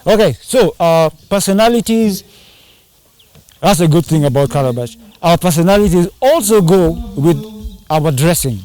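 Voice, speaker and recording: A man's voice: fairly high pitch, lively intonation, moderate pace, dark tone. A man chatting casually in an interview, rambling and slipping into Swahili slang. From Kenya. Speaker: band singer and drummer.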